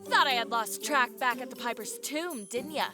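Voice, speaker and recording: A woman's voice: a little high, normal speed, over music, natural voice. A woman voicing a sassy, playful young raccoon with a teasing, sardonic way of talking, in a cartoon audio drama. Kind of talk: animated audio drama acting.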